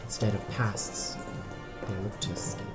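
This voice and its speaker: A man's voice: on the low side, fairly even intonation, unhurried, loud music behind the voice. A man narrating an audio play in a dramatic scripted style, solemn and theatrical.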